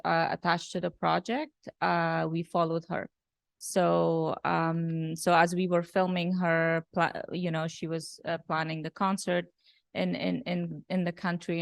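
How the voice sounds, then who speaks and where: Gravelly low-voiced woman filmmaker. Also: dry recording. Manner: thoughtful, many fillers and restarts. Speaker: documentary director. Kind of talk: post-screening Q&A talk.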